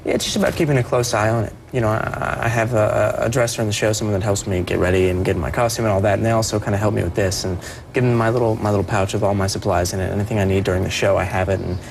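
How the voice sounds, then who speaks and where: A young man speaking as a performer guest on a TV interview, soft-voiced and earnest, often restarting his sentences. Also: on the low side, steady tone, racing, vocal fry, slight background noise. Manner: earnest, with many restarts and repeated words. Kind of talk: TV morning show interview.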